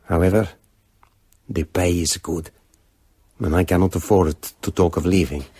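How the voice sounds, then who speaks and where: A man playing a wary, gossipy courier in a radio drama, narrating a letter in a measured, confiding way. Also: low-pitched, fairly even intonation, mellow, breathy edge, dry recording. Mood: confiding, uneasy.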